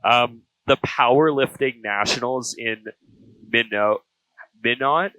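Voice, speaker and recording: A man's voice: medium pitch, slow, pushed and bright, no echo, poor audio. A man hosting a casual quiz-style interview, chatting informally. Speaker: show host and pilot. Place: small aircraft cockpit.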